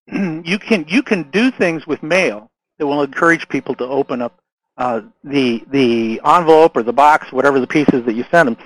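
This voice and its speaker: A man's voice: a little high, low-quality recording. A man who is losing his voice gives a casual marketing lesson, talking loosely with restarts and checking in with "right?" and "okay?". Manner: conversational, many restarts and fillers, frequent "right?" and "okay?". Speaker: marketing consultant. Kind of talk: casual instructional talk to camera.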